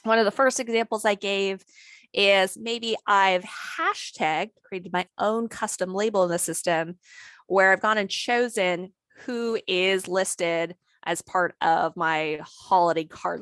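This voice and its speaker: A woman trainer talking through a software tutorial in a casual, conversational way, her voice a little worn today. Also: mid-range voice, steady tone, a little slow, no echo. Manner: conversational, lots of "maybe" and "you know". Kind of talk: screen-share software tutorial.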